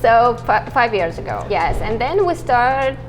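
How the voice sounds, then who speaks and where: A woman from Russia in her thirties with a Russian accent, answering interview questions as a startup founder, haltingly and with frequent laughs. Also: a little high, sing-song, music in the background. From Dagestan, Russia. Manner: halting, restarts words, laughs often.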